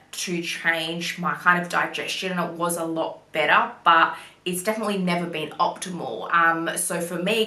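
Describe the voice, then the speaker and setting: A woman's voice: on the low side, bright, forceful tone. A young woman chatting casually to camera, full of "like" fillers and little apologies. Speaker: vlogger. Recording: roomy echo.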